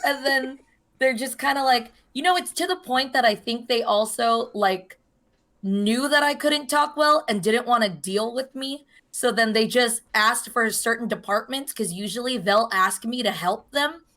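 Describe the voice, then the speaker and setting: A young woman with a hoarse voice, co-hosting a podcast in casual chat, telling a long story and acting out the voices of the people in it. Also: a little high, moderate pace, fairly bright tone. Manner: chatty storyteller, acts out quoted voices. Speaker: podcast co-host.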